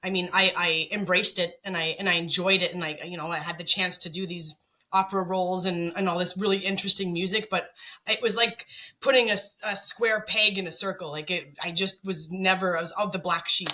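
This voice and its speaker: Casting note: woman singer and guest, bright tone, lively storyteller. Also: on the low side. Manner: chatty, animated storytelling. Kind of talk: casual interview chat.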